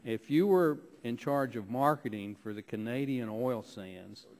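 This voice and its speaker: A man's voice: medium pitch, a little slow, darkish tone. Teasing congressman questioning a colleague. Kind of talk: committee hearing debate. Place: committee hearing room.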